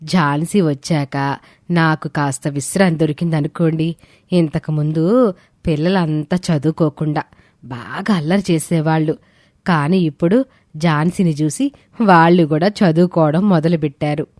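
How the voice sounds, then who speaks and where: An admiring hostel warden voiced by a woman for a moral-story drama. She speaks in a measured, fairly low voice with a dry, close sound. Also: darkish tone, dry recording, lightly acted. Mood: pleased. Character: approving hostel warden praising a model girl.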